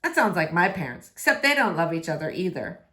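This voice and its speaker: A woman's voice: fairly low pitch, very animated, a little fast, fairly bright tone, pitched below the performer's own voice. Woman voicing teenage boy best friend, brash, slangy, blunt, storybook dialogue. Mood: blunt, curious.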